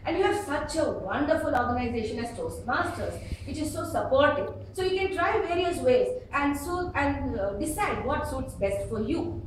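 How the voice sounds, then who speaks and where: A woman with an Indian accent giving an instructional speech to a live audience in an expressive, emphatic manner, acting out examples as she goes. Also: sits high, moderate pace, hardly any pauses. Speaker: speaker at a public speaking club. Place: indoor meeting room before an audience. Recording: distant, very echoey room with faint noise.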